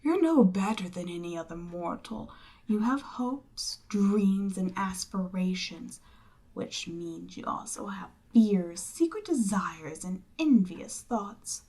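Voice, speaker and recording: A woman voicing a seductive, imperious demon queen in an audio roleplay, speaking deliberately and theatrically, with breathy near-whispered phrases. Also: medium pitch, animated, mellow. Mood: exasperated, wounded pride, then cool, probing. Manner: slow, deliberate, theatrical, persuasive. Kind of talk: roleplay audio drama, ASMR monologue.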